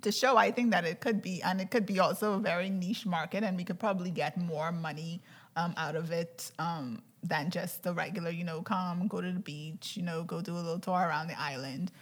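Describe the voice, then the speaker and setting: A woman's voice: lowish voice, steady tone, brisk. A woman from the Bahamas with a Bahamian accent, talking informally and conversationally as a panelist in a discussion. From Andros, Bahamas.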